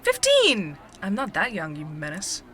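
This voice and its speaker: An actress playing a feisty, outspoken young barista in an audio drama, speaking in a casual, bantering way. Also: female voice, lowish voice, lively intonation, normal speed, sharp tone, a little hiss or hum. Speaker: head barista being interviewed.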